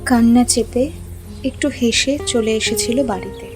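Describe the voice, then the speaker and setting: A woman's voice: on the high side, fairly even intonation, moderate pace. A woman narrating a scripted audio story. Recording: music under the voice.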